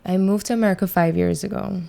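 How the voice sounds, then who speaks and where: A woman's voice: mid-range voice, fairly even intonation, fast, gravelly, mellow. A woman in her twenties from Guyana with a Guyanese accent, chatting easily as an interview guest and laughing often. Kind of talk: casual interview chat.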